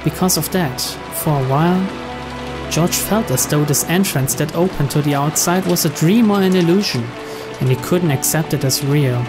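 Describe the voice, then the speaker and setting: Man narrating, slightly high-pitched voice. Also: moderate pace. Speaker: narrator, game playthrough host. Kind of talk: reading visual novel narration aloud. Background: clear game music.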